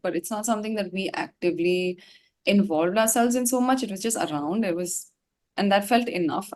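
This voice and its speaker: A woman with an Indian English accent telling a personal story reflectively in an interview, often pausing and repeating words as she searches for the right one. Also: mid-range voice, a little mellow. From India (Gangtok, Sikkim). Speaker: singer, interview guest.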